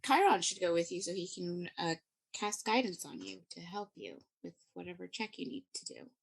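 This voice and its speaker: A woman's voice: lowish voice, normal speed, gravelly, husky. A woman talking casually as a player in a tabletop role-playing game.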